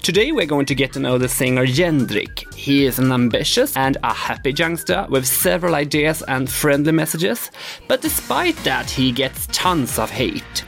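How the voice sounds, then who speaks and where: A man's voice: medium pitch, lively intonation, moderate pace, gravelly, a bit bright, soft background music. A man hosting an interview podcast in a playful, teasing way. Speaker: podcast host.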